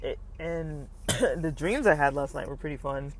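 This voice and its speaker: A man's voice: a little high, unhurried. A young man talking casually in a personal vlog, hesitant and rambling with many fillers, his throat slightly scratchy. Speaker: vlogger.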